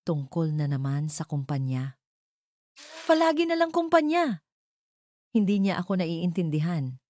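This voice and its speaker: A woman's voice: lowish voice, very animated, measured pace, dark tone, no echo, studio quality. A woman voicing a heartbroken, clinging young woman in an audio love drama, pleading and emotional. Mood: hurt, defensive.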